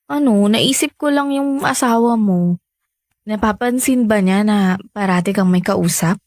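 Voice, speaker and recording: A woman's voice: mid-range voice, slow, pauses often, darkish tone, no room sound, studio quality. A Filipino woman acting in a radio romance drama as a lovestruck young woman, trusting yet hesitant and defensive about her affair.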